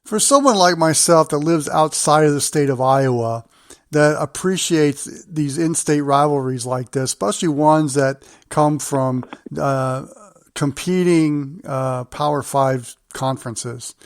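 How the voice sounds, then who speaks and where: An American man hosting a sports interview, putting his questions in halting, deliberate phrases. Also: a little high, dry recording, clean studio sound. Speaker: sports show host, interviewer.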